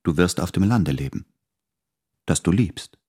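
An actor playing an earnest, insecure man in love in a radio play, speaking carefully and haltingly. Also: deep voice, expressive, a little mellow, slightly rough, heavily acted. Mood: tender hope. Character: earnest, insecure lover pleading with his beloved. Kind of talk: intimate German radio play dialogue.

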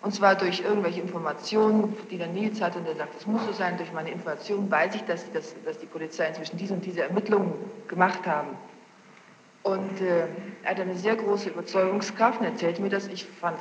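A woman's voice: on the low side, brisk. A young adult woman giving spontaneous, insistent testimony as a witness, repeating words for emphasis. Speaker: witness being questioned. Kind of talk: spontaneous testimony recounting events. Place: reverberant room, taped interrogation. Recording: noisy, muffled, echoey archival tape.

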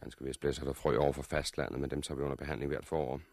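An actor in a 1960s radio drama playing a confident, courteous helicopter pilot who explains things matter-of-factly as a messenger from a survivors' colony. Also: male voice, extremely low pitch, fairly even intonation, gravelly, darkish tone, no room sound, exaggerated voice. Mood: courteous, businesslike.

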